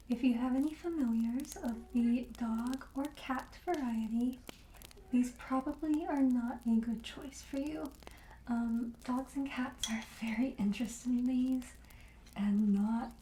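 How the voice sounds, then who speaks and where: A woman playing a friendly, soothing witch shopkeeper in an ASMR roleplay, speaking gently and hesitantly and drifting into whispers. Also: high-pitched, a little slow, reverberant, a little hiss or hum, low-quality recording. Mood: gentle, explanatory. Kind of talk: soft-spoken ASMR roleplay.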